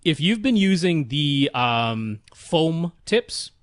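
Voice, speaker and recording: A man's voice: fairly high pitch, big pitch swings, slow pace, no echo. A man co-hosting a podcast, explaining practical advice casually in a methodical, matter-of-fact way. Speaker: podcast co-host.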